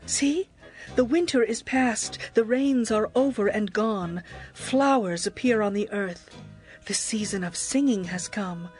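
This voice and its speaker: An actress playing a young, lovestruck bride, yearning and adoring, in a tender, poetic dramatized scripture reading. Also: female voice, medium pitch, a little slow, faint music behind, close to an everyday voice, poor audio. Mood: eager, joyful. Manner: expressive, poetic, tender delivery.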